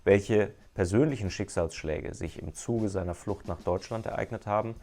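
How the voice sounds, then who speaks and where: A man's voice: lowish voice, moderate pace, darkish tone, slight background noise. A German man with a German accent, hosting and interviewing on a podcast.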